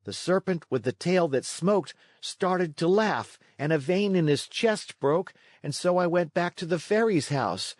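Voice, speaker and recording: A man's voice: high-pitched, expressive, measured pace, hardly any pauses, no room sound, clean studio sound. A man voicing an eager, earnest and plucky wooden puppet boy in a storybook read-along. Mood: breathless, rueful.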